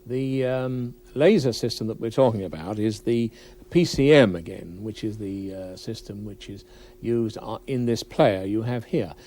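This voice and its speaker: A man giving a measured technical explanation as an interviewed audio expert. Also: fairly low pitch, warm tone.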